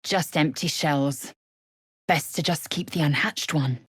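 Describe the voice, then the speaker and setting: A woman voicing a fierce, haughty young alien warrior in a video game, with a husky, breathy rasp and a clipped, imperious delivery. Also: sits low, measured pace, no room sound, studio quality, full character voice. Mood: curt, appraising. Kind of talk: video game character voice lines.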